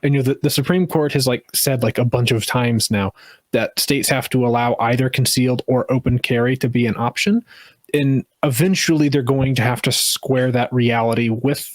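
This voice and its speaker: A man's voice: medium pitch, steady tone, moderate pace, no echo. A man co-hosting a casual podcast about gun laws, rambling with many restarts and fillers. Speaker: podcast co-host. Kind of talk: casual political chat.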